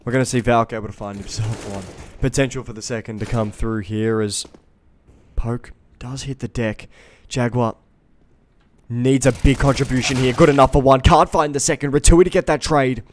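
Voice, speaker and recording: Male esports caster, headset mic, live play-by-play that gets loud on big moments. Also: medium pitch, normal speed, slight background noise. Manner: builds to loud excitement on big plays.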